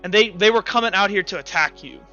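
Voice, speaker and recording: A man's voice: high-pitched, fast talker, pushed and bright, muffled recording, faint music behind. A man casually narrating a tabletop roleplaying game as game master, thinking aloud and correcting himself as he goes.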